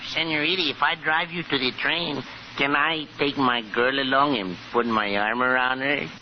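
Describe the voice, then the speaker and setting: A man's voice: mid-range voice, steady tone, moderate pace, lightly acted. An actor playing a cheerful, simple Mexican handyman with a Mexican accent in 1940s radio comedy style. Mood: earnest, joking. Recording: dull, noisy 1940s radio recording.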